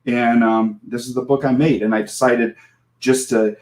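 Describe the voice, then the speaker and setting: A man in his forties tells a long story in casual podcast talk. He rambles, restarts, laughs at himself and acts out what others said. His voice sits at an ordinary middle pitch. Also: steady tone, moderate pace, a little mellow, slight background noise. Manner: rambling, self-deprecating storyteller who laughs often and acts out quotes. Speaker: comic book creator guest.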